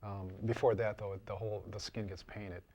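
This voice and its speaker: An older man explaining his craft in a casual interview, haltingly and often repeating words. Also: on the low side, flat delivery, a little mellow, slightly rough. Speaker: interviewed prop and mask maker.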